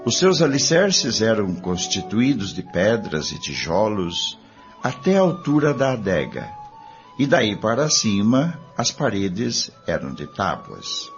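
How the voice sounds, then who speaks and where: A man's voice: on the low side, sing-song, slow pace. A man reading scripted narration for a radio drama. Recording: dull lo-fi audio over music.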